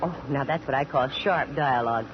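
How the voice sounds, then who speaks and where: An American actress playing a wry, sharp-tongued female private investigator in 1940s radio style, delivering dry wisecracks and narration. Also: rumbling low, background noise. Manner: dry, sardonic, quick with wisecracks. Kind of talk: 1940s radio acting with first-person narration. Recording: muffled vintage radio broadcast with music.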